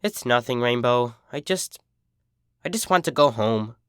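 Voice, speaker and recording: A dejected young cartoon pegasus filly acted out by a story narrator speaks in a hesitant, defensive mumble. The pitch moves around a lot, and the sound is dry and close. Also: animated, unhurried, smooth, no room sound, lightly acted. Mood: dejected, evasive.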